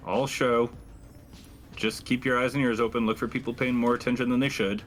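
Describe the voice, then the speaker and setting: Man playing a curt, forceful spaceship captain. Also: medium pitch, flat delivery, fairly quick, a little hiss or hum, pitched below the performer's own voice, lightly acted. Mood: commanding. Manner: curt, commanding, intimidating. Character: brusque, commanding spaceship captain, putting on an angry show.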